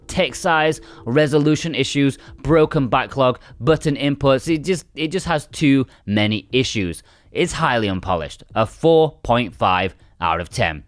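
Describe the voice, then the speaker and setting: A man's voice: mid-range voice, animated, a little slow, dry recording. A man narrating a scripted video game review in a conversational, emphatic way.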